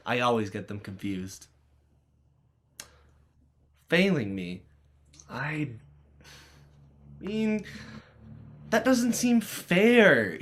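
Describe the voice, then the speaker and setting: A man's voice: medium pitch, a bit bright, full character voice. A man playing a defiant, sarcastic teenage schoolboy with a cheeky, melodramatic manner in a play reading. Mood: indignant sarcasm. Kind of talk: dramatized play script reading.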